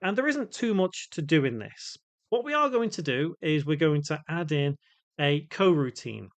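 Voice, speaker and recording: A man hosting a step-by-step software tutorial, explaining each piece in turn. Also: a little high, animated, moderate pace. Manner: explanatory, spells out code piece by piece. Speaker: tutorial host.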